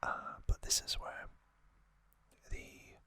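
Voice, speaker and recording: A man whispering an ASMR trivia recap in a breathy, unvoiced voice, gently and in short, drawn-out phrases. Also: dry recording. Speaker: ASMR host.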